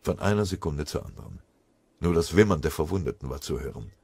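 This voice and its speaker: A man reading a war story as an audiobook narrator in dramatic scripted narration, with a husky voice. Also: extremely low pitch, animated, fast, a little mellow, dry recording.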